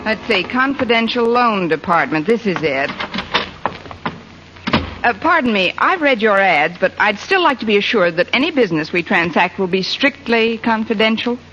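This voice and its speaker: Lively, wisecracking schoolteacher played by a woman. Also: medium pitch, moderate pace, soft background music, natural voice. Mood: polite, dry. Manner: dry, wisecracking. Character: wisecracking unmarried English schoolteacher, quick and sardonic. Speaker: lead actress in radio comedy. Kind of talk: 1940s radio comedy acting. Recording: muffled, faintly noisy vintage radio, audience.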